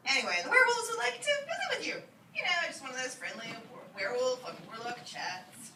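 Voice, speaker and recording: Awkward, flustered werewolf caller, played by a woman, comic live reading, far from the mic with clear noise. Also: sits high, very animated, brisk. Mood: flustered, grudging. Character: awkward, flustered werewolf making a phone call. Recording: roomy, noisy, distant old iPod recording.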